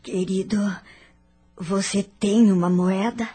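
An actress playing an elegant, compassionate lady with a Brazilian accent, gentle and pitying in a radio drama. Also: mid-range voice, fairly even intonation, slow pace, slightly rough, faint music behind. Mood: tender pity.

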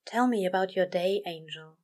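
A woman's voice: fairly low pitch, a little mellow, lightly acted. Woman voicing a weary, petulant male demon, drawling. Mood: drowsy. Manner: drawn-out, exasperated grumbling.

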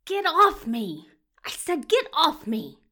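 A woman's voice: high-pitched, wildly swooping pitch, slow, sharp tone, heavily acted. A woman voicing a menacing, contemptuous male villain doctor with a dangerous, commanding manner in an audio drama. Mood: furious. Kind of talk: audio fiction acting.

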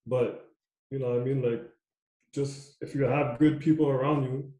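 A man's voice: mid-range voice, steady tone, normal speed, warm tone, reverberant, poor audio. A young man coaching youth basketball, talking casually in a loose, repetitive way. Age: young adult. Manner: loose, repetitive, says "you know what I mean" a lot. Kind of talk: casual podcast chat.

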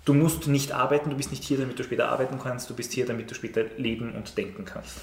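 A man's voice: medium pitch, steady tone. Male teacher, roomy echo. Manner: measured, many "ähm" fillers and restarts. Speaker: teacher of Latin and Ancient Greek. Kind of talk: interview, thoughtful explanation. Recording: roomy echo with faint noise.

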